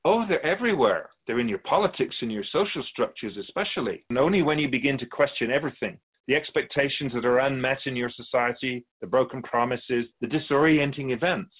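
A man playing a calm otherworldly being in a radio drama interview, explaining humanity to humans in a measured, knowing, didactic way. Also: medium pitch, phone-quality sound, close to an everyday voice.

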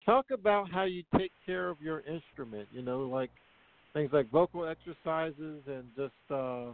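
A male host speaks in a fairly high voice through a thin, phone-like channel. He chats casually as he sets up questions for his guest. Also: moderate pace. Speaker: show host. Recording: narrow phone-like sound.

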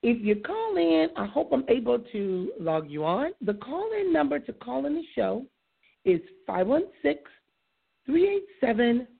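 A hoarse woman hosts her own call-in radio show, talking through a reflective solo monologue. Her fairly high voice is husky and gravelly with fry. The sound is narrow and lo-fi, like it is coming over a phone line. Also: big pitch swings, measured pace. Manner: reflective, conversational, invites callers. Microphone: iPad.

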